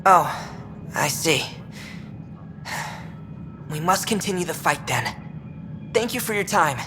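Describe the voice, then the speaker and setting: An actress playing a soft-spoken female colonist who asks hopeful questions. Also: on the low side, expressive, takes it slowly, background noise.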